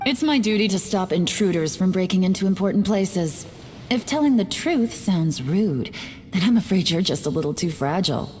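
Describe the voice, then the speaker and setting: An actress playing a stern, sardonic, confident guard in a video game, speaking in a measured, dry, composed way. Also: female voice, fairly low pitch, lively intonation, a little mellow, slight background noise, natural voice. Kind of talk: animated video game voice acting. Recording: long reverb, game music behind.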